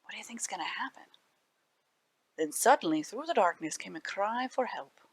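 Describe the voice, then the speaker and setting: A woman reading aloud to children as a library storyteller, animated and dramatic with deliberate phrasing. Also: medium pitch, breathy edge.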